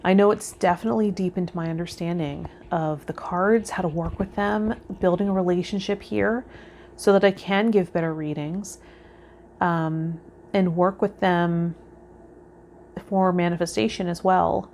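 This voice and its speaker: A woman hosting a vlog as a tarot reader, talking to viewers in a gentle, reflective way and offering spiritual guidance. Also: on the low side, fairly even intonation, measured pace, slight background noise. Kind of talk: casual spiritual guidance talk to viewers, partly read out.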